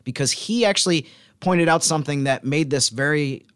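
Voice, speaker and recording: A man's voice: on the high side, normal speed, a bit bright, smooth, no echo, studio quality. A man co-hosting a sports podcast as stats analyst, explaining things casually and methodically.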